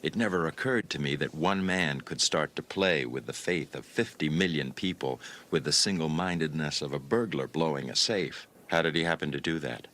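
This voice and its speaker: A man's voice: a bass voice, moderate pace, hardly any pauses, a bit bright. A man giving a narrated reading of a classic novel, acting out the dialogue. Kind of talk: dramatic audiobook-style reading of a classic novel.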